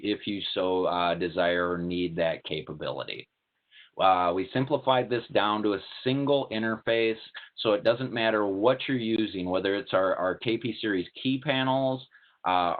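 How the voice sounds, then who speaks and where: A man giving a technical product presentation in a plain, methodical, explanatory way, as a webinar presenter. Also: low-pitched. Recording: tinny narrowband call-like audio.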